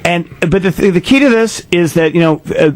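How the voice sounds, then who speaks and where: A man's voice: sits high, expressive, dry recording. Chatty forty-something male radio host. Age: 40s. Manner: chatty, enthusiastic, halting restarts. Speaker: radio talk show host. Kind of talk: casual radio interview chat. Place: radio studio.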